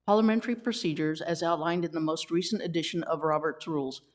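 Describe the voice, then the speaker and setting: Woman, committee chair, reads rules aloud, wisecracking asides, slightly low voice. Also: fast talker. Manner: wisecracking, reads formal rules then jokes with the room. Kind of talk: chairing a community meeting, reading procedures.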